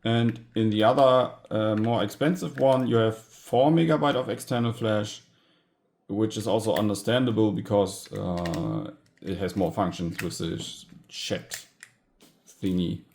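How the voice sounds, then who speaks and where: A man casually narrating a hands-on gadget teardown as a video host, informal and halting, often pausing mid-sentence. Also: on the low side, vocal fry, a little mellow, a little hiss or hum. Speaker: tech hobbyist video host.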